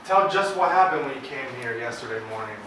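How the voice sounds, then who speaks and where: A man's voice: mid-range voice, expressive, brisk, close to an everyday voice. A man acting a businesslike county attorney in an amateur stage play, questioning a witness in a formal, prodding manner. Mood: firm, prompting. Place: theatre stage, indoors. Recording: echoey stage from far off, faint noise.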